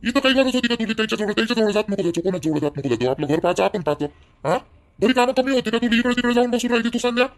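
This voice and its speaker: A man's voice: very high-pitched, lively intonation, very fast. A man playing an angry, controlling young village husband in a family cartoon, scolding and repeating himself in a Vidarbha Marathi accent. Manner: scolding, domineering, repetitive. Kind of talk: family drama cartoon dialogue.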